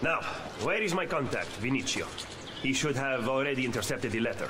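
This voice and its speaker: An actor playing a skeptical, formal Renaissance Italian political schemer in a video game, speaking in a measured way. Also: male voice, medium pitch, lively intonation, background noise, natural voice. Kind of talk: video game cutscene acting. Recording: game audio under loud music.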